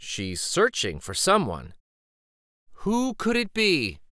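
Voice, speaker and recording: Man reading aloud with very animated pitch. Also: takes it slowly, no room sound, clean studio sound. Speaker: children's story reader and host. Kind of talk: read-aloud picture book narration.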